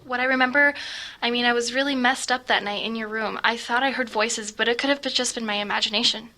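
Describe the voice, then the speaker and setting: Tense, bright troubled teenage girl. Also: a little high, fairly even intonation. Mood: shaken, fearful. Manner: tense, halting, defensive. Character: troubled, fragile, dramatic teenage girl, guarded and fearful. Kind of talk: audio drama acting.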